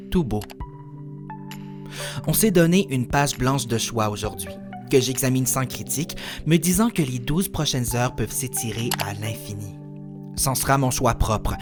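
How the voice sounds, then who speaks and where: A man reciting his own spoken-word poetry in a contemplative, literary way, in short measured phrases. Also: mid-range voice. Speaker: poet reciting own work.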